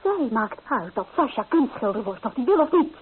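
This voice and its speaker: An actress playing a restless, romantic young bride-to-be in a Dutch radio drama, speaking with emotional, poetic intensity. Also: female voice, fairly high pitch, sing-song, a little fast, breathy edge, narrow, tinny audio, heavily acted. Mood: defiant, agitated. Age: young adult. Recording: thin, band-limited old radio recording, faint noise.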